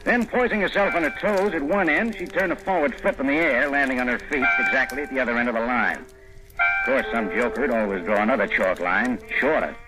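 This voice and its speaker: Guy doing breezy hard-boiled reporter hero, old radio drama narration, really animated, music loud behind him. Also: medium pitch, close to an everyday voice, low-quality recording. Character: wry, world-weary male foreign correspondent hero. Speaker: narrator and lead.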